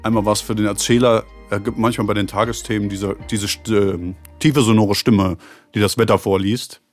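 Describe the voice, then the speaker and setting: A man's voice: on the low side, steady tone, normal speed, faint music behind. Chatty male actor in interview. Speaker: actor and voice-over speaker being interviewed. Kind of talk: casual interview chat.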